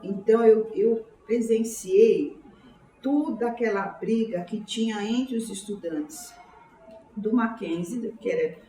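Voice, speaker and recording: A woman in her sixties with a São Paulo Brazilian accent, recalling her life in an interview in a halting, reflective way, often repeating words and checking for agreement. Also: mid-range voice, warm tone. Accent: São Paulo Brazilian Portuguese. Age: 60s. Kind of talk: oral history interview, autobiographical recollection. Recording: roomy, distant mic, faint background noise.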